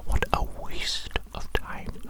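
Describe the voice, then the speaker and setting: A woman performing an ASMR roleplay as a grandiose, scheming evil scientist, whispering theatrically and repeating her phrases. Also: slow. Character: grandiose evil mad scientist doctor, scheming and self-important.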